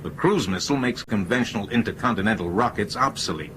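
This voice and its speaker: Low male voice, cool persuasive plotter played by a man, radio drama, matter-of-fact, noisy recording. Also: fast talker, close to an everyday voice. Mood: explaining.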